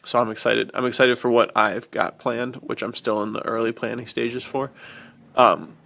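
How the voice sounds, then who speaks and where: A man's voice: mid-range voice, fairly even intonation, normal speed, vocal fry, pushed and bright. A man co-hosting a podcast, chatting casually and enthusiastically in slangy, informal wording. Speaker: podcast co-host.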